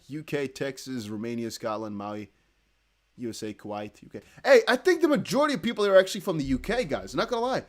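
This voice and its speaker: A young man with a Dutch accent, hosting a crypto livestream in casual chat, reading viewer comments aloud. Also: medium pitch. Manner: chatty, animated, reads viewer comments aloud with quick laughs.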